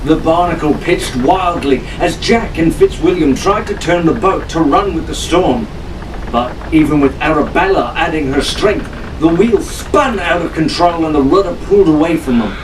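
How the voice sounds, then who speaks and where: Higher-pitched male storyteller, noisy recording. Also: distant mic. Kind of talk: dramatic scripted audiobook narration.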